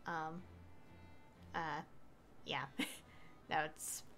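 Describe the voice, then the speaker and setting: A woman's voice: sits low, little pitch movement, drawn-out words, a bit bright, slight background noise. A woman streaming a game with casual commentary, thinking aloud hesitantly.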